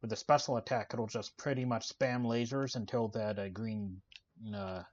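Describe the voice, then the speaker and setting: Male gaming host, low-pitched and soft-spoken, laid-back commentary, lo-fi mic sound. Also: moderate pace. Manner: relaxed, many "uh" fillers, explains the game while playing. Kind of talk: casual gameplay commentary. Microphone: desk microphone.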